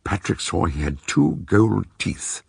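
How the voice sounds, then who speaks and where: A man narrates a detective drama in a low voice. It is gravelly and very raspy. His delivery is dramatic and scripted. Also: very animated, slow, a little mellow, no room sound.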